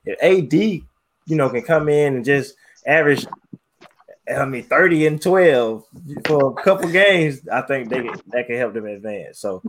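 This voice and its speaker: A man on a podcast panel, talking loosely about basketball and leaning on fillers like "you know". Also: medium pitch. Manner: rambling, leans on "you know". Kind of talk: casual sports talk.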